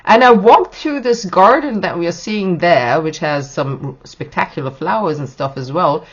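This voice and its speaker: A hoarse middle-aged woman hosting a podcast, talking in a chatty, rambling way with restarts. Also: lowish voice, normal speed. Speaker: podcast host. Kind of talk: casual podcast monologue. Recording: dull lo-fi recording.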